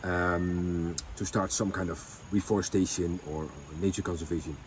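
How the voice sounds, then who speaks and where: Low-voiced man, halting. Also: steady tone, slight background noise. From Netherlands. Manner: halting, many ums and restarts. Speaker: vlogger. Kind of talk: casual storytelling chat.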